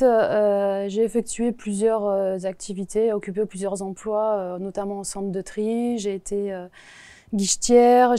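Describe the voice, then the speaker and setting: A woman in her forties from the Paris region, answering interview questions in a hesitant way with many pauses. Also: mid-range voice, warm tone, no room sound. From Paris region, France. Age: 40s. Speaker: postal worker, interview guest.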